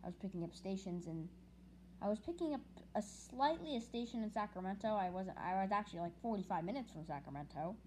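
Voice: medium pitch, lively intonation, quick pace, darkish tone. An American speaker with a woman-range voice giving a casual, unscripted update in a hesitant, rambling way, often repeating words. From United States. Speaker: hobbyist video creator. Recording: clearly noisy with clicks.

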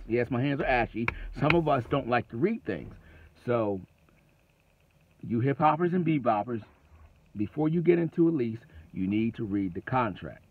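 A middle-aged man giving casual, emphatic advice in a trucking vlog, talking from his parked car and repeating phrases for emphasis. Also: mid-range voice. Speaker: trucking vlogger. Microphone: phone camera at arm's length. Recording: tinny phone-like sound.

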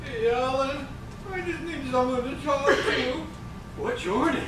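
A man's voice: extremely high pitch, a little slow. Man playing a rambling monster, far from mic. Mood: friendly. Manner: rambling, stammering, repeating himself. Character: chatty, excitable male monster, oblivious and friendly. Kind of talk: staged reading of a comedy play. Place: indoor stage, recorded from the audience by far camera. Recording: distant, echoey theater, noisy background.

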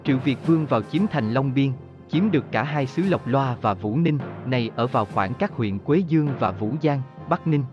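A man's voice: mid-range voice, expressive, moderate pace, muffled recording. A man narrating history from a script in a formal storytelling manner. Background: background music.

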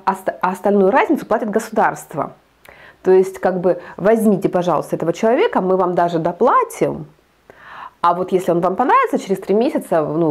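A woman's voice: lowish voice, darkish tone. A woman in her thirties talking casually to camera as a vlogger, hesitant with many fillers and restarts, recorded on a lapel mic. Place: indoor studio with green backdrop.

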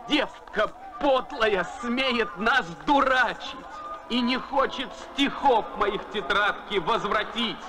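An actor playing a bitter, wounded young lover, reciting verse in an impassioned, declamatory way for an animated film. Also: male voice, high voice, exaggerated voice. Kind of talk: dramatic recitation of ancient verse.

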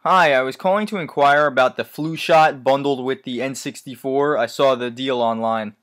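A man making a deadpan prank phone call, speaking in an earnest, polite and hesitant way. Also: medium pitch, moderate pace.

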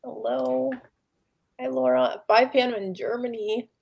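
A woman's voice: a little high, very animated, unhurried, vocal fry, darkish tone. A young adult woman casually hosting a livestream over a video call in a chatty way. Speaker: livestream host. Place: room at home, video call.

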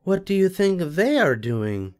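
An older man reading a storybook aloud, voicing a patient, kind grown male rabbit in a soft, soothing bedtime tone. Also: high voice, sing-song, measured pace, pitched above the performer's own voice.

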